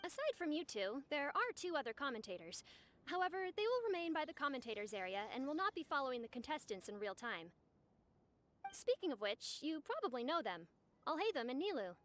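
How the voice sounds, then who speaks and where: A woman's voice: high-pitched, big pitch swings, brisk, fairly bright tone, a little hiss or hum. A woman playing a polite, cheerful young festival organizer in an anime game, with courteous and formal phrasing. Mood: cheerful, businesslike.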